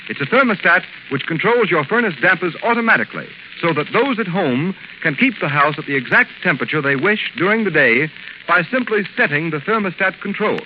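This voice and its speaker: A man announcing a scripted 1930s radio advertisement with formal, emphatic salesmanship. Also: high-pitched. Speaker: radio announcer and sponsor spokesman. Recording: noisy, muffled 1930s radio broadcast.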